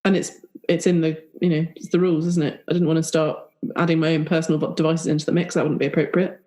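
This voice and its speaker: A woman's voice: low-pitched, flat delivery, quick pace, dark tone, lightly acted. A woman playing an evasive secret agent in an improvised roleplay, casual and self-justifying. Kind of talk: improvised roleplay audio drama.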